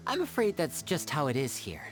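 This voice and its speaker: A woman playing a charming young male stage magician and caring older brother in an anime game, polite and theatrical. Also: medium pitch. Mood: composed.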